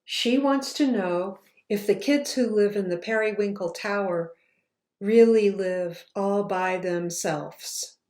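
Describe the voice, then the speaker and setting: A woman author reading her children's book aloud in slow, expressive storytelling. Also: medium pitch. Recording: roomy echo.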